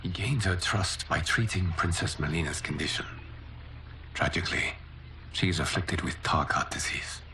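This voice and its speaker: A man playing a calm, noble godlike protector in a video game cutscene, grave, measured and formal. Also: sits low, a little hiss or hum, low-quality recording. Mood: grave, confiding.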